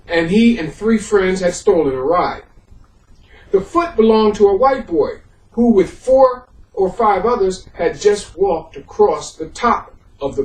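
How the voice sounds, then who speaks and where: A man presents and reads a history book aloud in the role of a historian. His delivery moves between halting explanation and emphatic reading. He is recorded in a very echoey room, at a distance from the microphone. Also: high voice. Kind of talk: introduction and audiobook-style reading of a history book.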